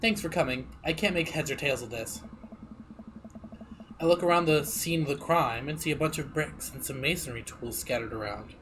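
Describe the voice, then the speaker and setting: Stuffy-nosed guy streaming a story game, chatty, bit high voice, faint noise. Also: normal speed. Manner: chatty, laughs often. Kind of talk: reading a game aloud with running commentary.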